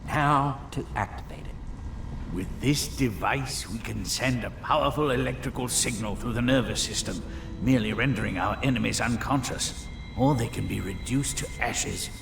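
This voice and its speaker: An actor playing a proud, grave inventor-scientist in a video game, speaking formally with measured grandeur. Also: male voice, medium pitch, gravelly, background noise, lightly acted. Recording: roomy, distant, music behind.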